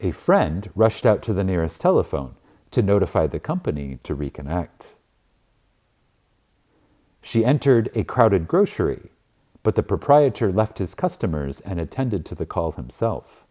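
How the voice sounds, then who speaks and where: A man narrating a spiritual audiobook in a reverent, emphatic manner with deliberate dramatic pauses. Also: low-pitched, very animated, mellow.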